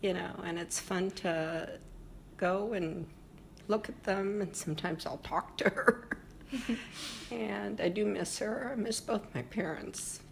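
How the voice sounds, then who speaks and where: An older woman being interviewed about her family history, speaking reflectively and pausing often with fillers. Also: mid-range voice, creaky, slight background noise. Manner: reflective, hesitant with frequent fillers.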